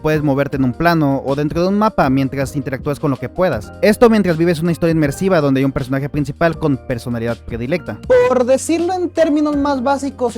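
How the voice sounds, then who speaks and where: A man narrates a video essay at a brisk clip. His voice sits a bit high for a man, and he keeps it chatty and explanatory. Soft music plays faintly underneath. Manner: conversational, explanatory. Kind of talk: scripted narration with casual asides.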